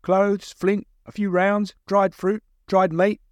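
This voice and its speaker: A man puts on a big, taunting thug for an audiobook. The voice sits raised and lively, in a dry close space. He teases his captive with a mocking quizmaster's manner. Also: high-pitched, slow, no echo, voice pushed up. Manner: mocking, teasing interrogator. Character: big, grinning, taunting male captor giving a quiz. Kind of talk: dramatised audiobook dialogue.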